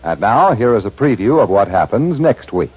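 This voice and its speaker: A man announcing on 1940s radio with an emphatic sales-pitch delivery. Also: sing-song, normal speed, a little hiss or hum. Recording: muffled old 1940s radio broadcast.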